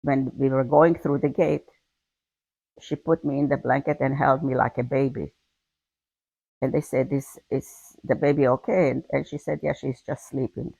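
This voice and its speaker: An older woman from Hungary, giving a halting personal account with frequent repeated words. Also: very deep voice, fairly even intonation, gravelly, mellow, husky, dry recording, poor audio. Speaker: interviewee. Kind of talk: personal testimony.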